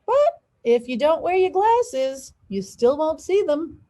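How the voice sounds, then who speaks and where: Sassy girl dancer, storybook woman reader, high soft tone, cheeky and quick with retorts. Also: big pitch swings, exaggerated voice. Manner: cheeky comebacks.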